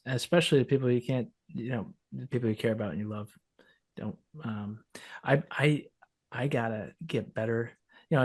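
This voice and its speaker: A man chatting informally as a podcast co-host, speaking in a halting, conversational way. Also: on the low side, a little mellow, no echo. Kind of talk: casual podcast chat.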